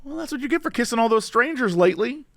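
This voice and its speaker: High-pitched man in teasing banter. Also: expressive, fast talker, creaky, a bit bright, no echo, clean studio sound. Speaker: podcast co-host. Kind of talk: casual chat.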